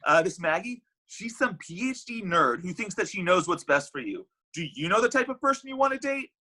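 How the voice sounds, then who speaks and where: A man playing an indignant, needy underdog matchmaker, emphatic and plaintive, with a husky voice, in a comedy read. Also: high voice, big pitch swings, fairly quick, bright, forceful tone, exaggerated voice. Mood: indignant, rallying. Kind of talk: comedy script table read.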